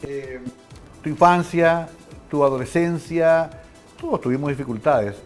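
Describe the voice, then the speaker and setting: Paraguayan guy hosting a tv interview, chatty, voice a bit high, music in the back. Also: measured pace, darkish tone. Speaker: television interviewer and host. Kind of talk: conversational TV interview.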